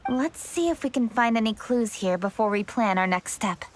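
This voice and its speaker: A woman's voice: fairly high pitch, expressive, moderate pace, soft background music, lightly acted. A woman voicing an earnest, resolute young traveler in a video game, composed and polite. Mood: indignant.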